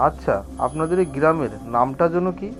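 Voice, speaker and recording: A man voicing a polite, weary traveler seeking shelter in an animated horror story. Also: a little high, a little fast, soft background music, close to an everyday voice.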